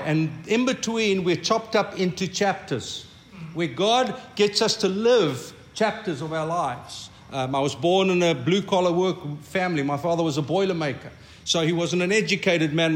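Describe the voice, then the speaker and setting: An older man with a South African accent, Afrikaans being his first language, leads a congregation in a sermon that sounds like a conversation. His voice sits high for a man. He talks in a reflective way and often says a word twice before a thought gets going. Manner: reflective, repeats words when starting a thought. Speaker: pastor.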